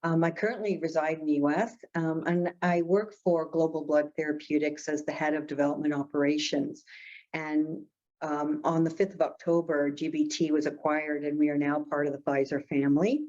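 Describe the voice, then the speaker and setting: Woman executive from Canada, low even voice, businesslike, dull call audio. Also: normal speed. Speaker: pharma executive. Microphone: video call.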